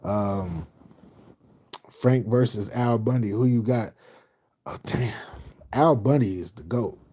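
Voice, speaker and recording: A low-pitched man with a dark, mellow hosts a late-night livestream, reading comments aloud. He is middle-aged and from Houston, and he rambles in slang, restarting and repeating lines as he goes. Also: slow pace, slightly rough. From Houston, Texas. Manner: loose, slangy, repeats phrases, trails off. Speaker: livestream host reading chat.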